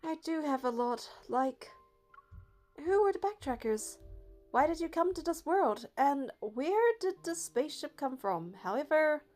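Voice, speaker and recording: A woman narrating a game playthrough, reading the story text aloud in a steady, even way. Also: sits high, measured pace, faint music behind.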